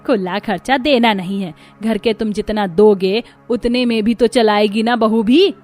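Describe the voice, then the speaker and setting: A woman's voice: medium pitch, measured pace, a little mellow, soft background music, close to an everyday voice. A woman playing an exasperated older village wife and mother-in-law in a cartoon story, scolding and complaining. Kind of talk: Hindi cartoon story dialogue.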